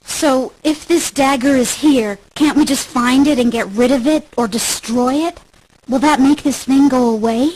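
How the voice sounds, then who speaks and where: Anxious, husky young heroine. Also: female voice, high-pitched, a little slow, a little mellow, rough recording. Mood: probing. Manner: pleading, questioning. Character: earnest, anxious young woman, brave and questioning. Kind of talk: dramatic video game voice acting.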